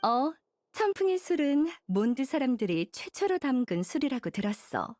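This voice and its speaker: High, lilting, teasing female mentor. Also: sing-song, moderate pace, a little mellow. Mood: explaining. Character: composed, teasing, bookish woman mentor. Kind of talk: anime game voice acting.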